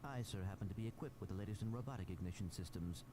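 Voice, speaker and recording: An actor playing a polite, formal little robot who speaks precisely. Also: male voice, fairly low pitch, racing, background noise, lightly acted. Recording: game cutscene with clear background ambience.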